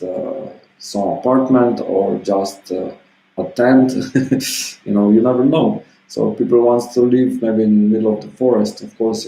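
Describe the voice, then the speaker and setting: A man in his thirties talks in a loose, meandering way, full of little filler phrases. His voice sits low and sounds warm and relaxed. The room around him is echoey, so his words ring a little. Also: vocal fry. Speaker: self-help vlogger. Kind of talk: casual motivational monologue. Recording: roomy echo.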